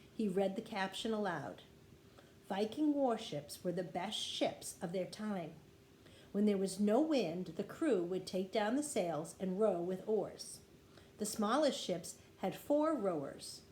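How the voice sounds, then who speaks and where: A woman reads a children's adventure book aloud with expressive storytelling. Her voice sits at a middling pitch, recorded in an echoey room with faint noise behind it. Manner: animated storytelling with acted dialogue. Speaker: teacher reading aloud to kindergarteners. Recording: roomy echo with light background noise.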